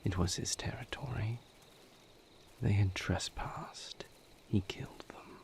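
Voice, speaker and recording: A low, gravelly vampire lover, acted by a man speaks in an intimate roleplay monologue. He is brooding and somber, confiding a grim past slowly and haltingly in a breathy murmur. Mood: grave, grieving. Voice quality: breathy, gravelly near-whisper. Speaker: audio roleplay performer.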